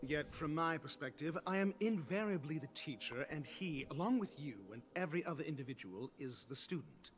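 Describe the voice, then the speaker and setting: Game role: male actor as condescending male academic, pompous and formal, higher-set voice with swooping intonation. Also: expressive, a little fast, hardly any pauses, close to an everyday voice. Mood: lecturing. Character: haughty, intellectual male scholar who lectures everyone. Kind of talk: video game voice acting. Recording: music playing behind.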